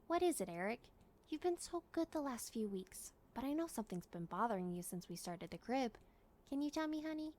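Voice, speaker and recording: A woman voicing a gentle, caring storybook mother who speaks softly and reassuringly to her young son. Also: on the high side, big pitch swings, fairly quick. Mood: gentle concern. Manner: soft, tender, reassuring.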